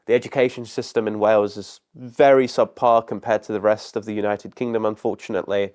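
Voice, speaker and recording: Clear-voiced male political interviewer. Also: fairly low pitch, little pitch movement, a little fast, a little mellow.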